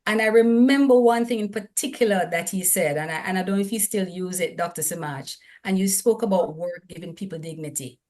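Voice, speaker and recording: A woman's voice: lowish voice, fairly quick, few pauses, a little mellow. Upbeat Jamaican woman hosting live. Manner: upbeat, emphatic, addresses the audience directly. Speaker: host of an online seminar.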